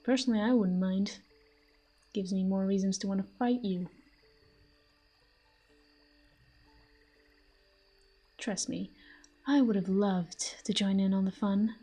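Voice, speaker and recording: A woman playing a possessive, teasing fantasy villain in an intimate audio roleplay, with a soft, breathy voice and a smug manner. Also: medium pitch, fairly even intonation, moderate pace, faint music behind, natural voice. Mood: smug, probing. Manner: smug, taunting, breathy murmurs. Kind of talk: intimate scripted audio roleplay addressed to the listener.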